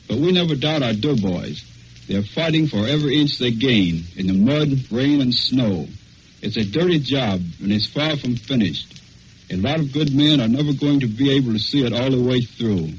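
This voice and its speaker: Young American male soldier, mid-pitched, reading personal testimony over a dull, slightly noisy 1940s radio broadcast. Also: animated, normal speed. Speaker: wounded soldier recounting combat.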